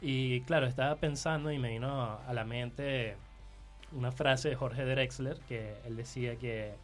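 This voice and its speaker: A male guest contributor with a Venezuelan accent chats casually in a mid-pitched voice. He hesitates and repeats words as he builds a point. Music plays faintly in the background. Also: steady tone, a little slow. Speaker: guest contributor on identity and multiculturalism. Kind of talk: casual chat.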